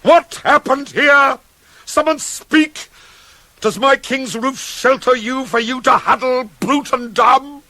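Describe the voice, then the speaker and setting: A man's voice: extremely high pitch, bright, forceful tone, no echo, heavily acted. An actor playing a proud, widowed ancient Greek king in a radio play, declaiming tragic verse with grief and rage. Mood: anguished. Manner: declamatory, slow, heavily dramatic.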